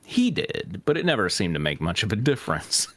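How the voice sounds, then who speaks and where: A man's voice: lowish voice, sing-song, brisk, fairly bright tone, dry recording. A man reading fanfiction aloud as a host, breaking off for joking banter.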